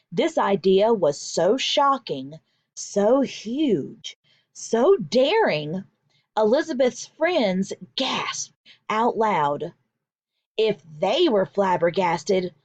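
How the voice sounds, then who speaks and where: A woman teacher reading a picture book aloud for young children, with deliberate, emphatic storytelling and instructional delivery, in a slightly dull recording. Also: a little high, a little mellow, no room sound.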